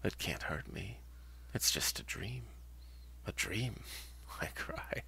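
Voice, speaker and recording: Man acting a tormented, sleepless young man, gravelly whisper. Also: lowish voice, lively intonation, bright, forceful tone, voice pushed up, exaggerated voice. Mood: desperate. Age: mid twenties. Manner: tormented, halting, muttering to himself. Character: sleepless, panicked young man doubting his sanity.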